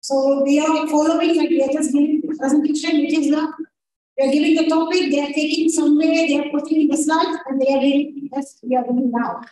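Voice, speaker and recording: A woman's voice: sits high, steady tone, a little fast, background noise. A woman lecturer presenting a conference paper in English, her second language, hesitant with many fillers and restarts. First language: not English. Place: large conference ballroom. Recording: echoey ballroom from far off.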